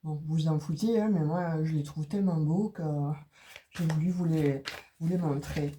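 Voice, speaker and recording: Woman card reader with a cold; warm low-key tone, halting delivery full of restarts. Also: fairly even intonation. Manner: hesitant, many fillers and restarts. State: has a cold. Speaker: tarot and oracle card reader. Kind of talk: casual card reading for viewers.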